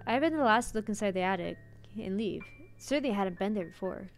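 A woman's voice: medium pitch, lively intonation, brisk. A woman streaming a horror visual novel, reading the narration aloud dramatically and sometimes stumbling over words. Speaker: game streamer. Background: game music.

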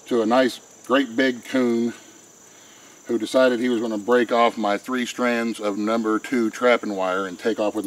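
A man's voice: medium pitch, a little hiss or hum, rough recording. Guy explaining traps outside, plain folksy talk, crickets faint behind. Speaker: homesteader showing how to set traps. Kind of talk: casual how-to explanation. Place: outdoors by a pen and a wooded creek. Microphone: camera mic, handheld camera close by. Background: faint outdoor insects and crickets.

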